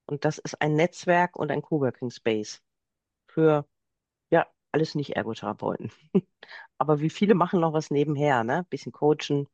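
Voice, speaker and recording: A woman's voice: deep voice, animated, moderate pace, darkish tone, no room sound. Chatty woman in her fifties, muffled. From Germany. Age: 50s. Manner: chatty, explains at length. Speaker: guest, occupational therapist and practice owner. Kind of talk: podcast interview.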